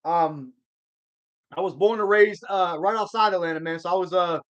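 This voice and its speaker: A man's voice: high-pitched, normal speed, fairly bright tone, muffled recording. A man hosting a live sports stream, chatting casually and answering viewer comments in a rambling, slangy way. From Georgia. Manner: laid-back slang, rambling restarts.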